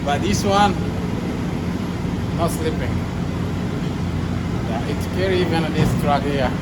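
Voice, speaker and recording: A man with an East African accent chatting casually to his vlog as he goes, exclaiming and repeating himself. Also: slow pace. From born in Rwanda, lives in Texas. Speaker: travel vlogger. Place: outdoors at a busy ferry among trucks. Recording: loud vehicle rumble, busy crowd noise. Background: vehicles, engines, crowd.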